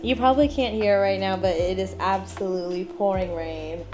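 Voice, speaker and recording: A woman's voice: mid-range voice, fairly even intonation, normal speed, music in the background. A woman in her late twenties talking to the camera at home in a casual, reflective vlog, with many "like" fillers. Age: 20s. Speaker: vlogger. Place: at home indoors.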